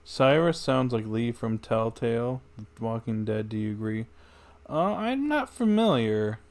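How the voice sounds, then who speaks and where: A male livestreamer commenting casually on a video game. He has a medium-pitched voice and thinks out loud in an offhand, conversational way. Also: big pitch swings, a little slow. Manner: offhand, musing aloud.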